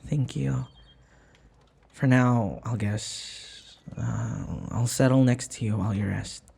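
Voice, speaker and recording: A man acting in an intimate audio roleplay as a devoted young human servant, speaking in a soft, halting, tender and pleading way. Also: mid-range voice, creaky, husky, heavily acted. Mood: gentle, affectionate.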